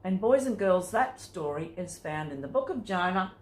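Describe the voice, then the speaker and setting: A woman reading scripted Bible stories to children in a simple, expressive storytelling manner. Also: fairly low pitch, normal speed. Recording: roomy, echoing sound.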